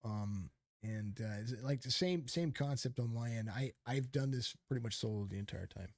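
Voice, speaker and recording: A man's voice: mid-range voice, moderate pace, a little mellow, no echo. A man hosting a casual podcast who talks about calling races. He rambles emphatically, with many restarts and fillers, into a close microphone. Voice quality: calls his voice fit for radio. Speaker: podcast host and race commentator.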